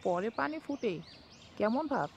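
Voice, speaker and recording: A bossy old village mother-in-law, voiced by a woman nags and grumbles in a cartoon voice, slightly high, over faint outdoor noise. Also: expressive, unhurried, vocal fry. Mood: grumbling. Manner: nagging, complaining.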